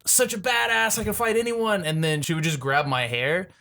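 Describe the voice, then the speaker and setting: Bright-voiced man chatting casually. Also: high voice, steady tone, normal speed. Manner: joking, anecdotal, frequent "like". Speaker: show co-host. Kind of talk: casual chat with friends.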